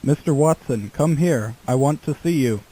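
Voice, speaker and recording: Mellow man through a lo-fi phone effect. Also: medium pitch, moderate pace, slightly rough. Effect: lo-fi telephone filter being built up. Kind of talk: short recited test phrase.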